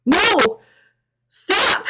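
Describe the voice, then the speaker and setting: A woman's voice: fairly low pitch, fairly even intonation, slow pace, heavy background noise. A woman voicing a distraught, desperate young man in an audio story, emotionally raw and pleading. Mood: frantic screaming.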